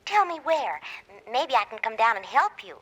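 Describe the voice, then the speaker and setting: An eager young female office assistant in vintage radio style. The voice is high, girlish and brightly pointed, on an old 1940s radio recording. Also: very animated, moderate pace, low-quality recording. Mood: eager, pleading.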